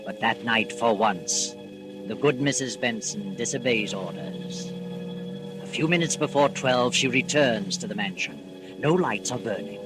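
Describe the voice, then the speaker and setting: A man playing the dramatic narrator of a golden age radio thriller, telling the tale with measured suspense. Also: medium pitch, lively intonation, natural voice, poor audio. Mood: suspenseful. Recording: old radio recording with music underneath.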